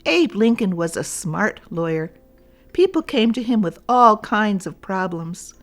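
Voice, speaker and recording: Slow-paced woman reading a children's story. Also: medium pitch, lively intonation. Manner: deliberate, addressing young listeners. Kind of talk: read-aloud storybook narration for children.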